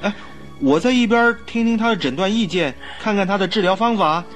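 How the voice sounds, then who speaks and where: An actor playing a polite, loyal doctor in a radio drama, deferential and earnest with an anxious edge. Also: male voice, sits high, fairly quick, a bit bright, faint music behind. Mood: anxious, puzzled. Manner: courteous, humble, earnest. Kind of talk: Mandarin radio drama acting.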